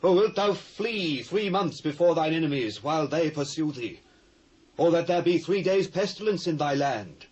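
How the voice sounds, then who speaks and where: Bible prophet, british, stern and urgent, highish voice, dull old tape sound. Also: male voice, animated, normal speed, a little mellow. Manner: urgent, declamatory. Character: stern prophet delivering God's ultimatum. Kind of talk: dramatised scripture reading. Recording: muffled old cassette sound.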